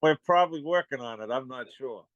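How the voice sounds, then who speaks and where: A man with a Boston accent telling personal stories casually and haltingly as a participant on a video call. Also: medium pitch, expressive, muffled recording, a crowd in the background. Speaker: meeting participant giving a testimonial.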